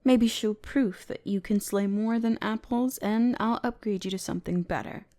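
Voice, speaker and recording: A woman's voice: medium pitch, moderate pace, mellow, lightly acted. A woman playing a bratty, haughty female elf mage, bossy, exasperated and condescending, in a scripted roleplay audio drama. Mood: grudging, irritated.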